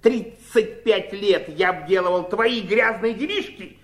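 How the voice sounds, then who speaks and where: High-voiced, blustering resentful brother. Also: male voice, measured pace. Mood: bitter, sarcastic. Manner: indignant, sarcastic. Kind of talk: Soviet radio drama acting.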